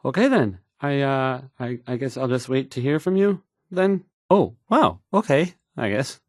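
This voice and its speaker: A male actor voices a bumbling young radio DJ for a video game, swinging up and down in pitch as he stammers. He sounds flustered and unsure of himself, often trailing off, in a dry, close studio sound. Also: animated, unhurried, no room sound, natural voice. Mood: hesitant, surprised. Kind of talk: scripted game voice acting, halting radio patter.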